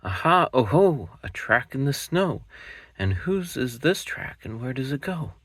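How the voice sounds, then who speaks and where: A man voicing a small, brave monster child in a storybook reading, higher and louder than his narration. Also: normal speed, husky, no echo, clean studio sound. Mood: excited.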